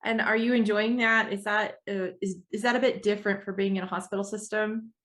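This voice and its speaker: A woman's voice: mid-range voice, fairly even intonation, fairly quick, a bit bright. A woman hosting an interview, asking eager questions with open enthusiasm. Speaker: podcast host.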